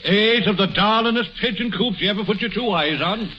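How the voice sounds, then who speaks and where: A man's voice: high voice, brisk, sharp tone. A man playing a genial, blarneying Irish trader in 1940s radio comedy style. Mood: cheerfully persuasive. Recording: muffled, noisy old radio recording.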